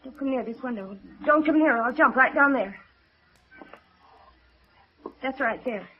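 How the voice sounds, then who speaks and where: High-voiced, desperate young woman. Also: a little slow. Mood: suspicious. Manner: tense, defiant, emotional. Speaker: radio actor. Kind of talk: 1950s radio drama acting. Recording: muffled old radio recording.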